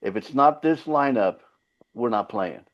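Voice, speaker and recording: A Finnish-born singer whose first language is Finland Swedish, telling stories in a loose, profane way as an interview guest. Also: male voice, mid-range voice, lively intonation, measured pace. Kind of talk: casual interview chat. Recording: slightly dull lo-fi sound.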